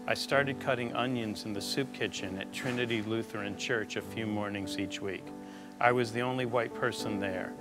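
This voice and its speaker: Male memoir reader, lower register, scripted audiobook narration over prominent guitar music. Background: plucked guitar music.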